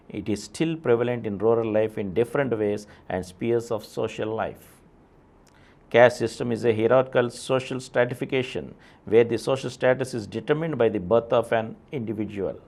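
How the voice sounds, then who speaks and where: A man with an Indian accent reads an essay aloud in a creaky, gravelly voice of medium pitch. His delivery is formal and declamatory, with deliberate phrases that start with emphasis and trail downward. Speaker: reader presenting an article. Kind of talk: scripted reading of an essay.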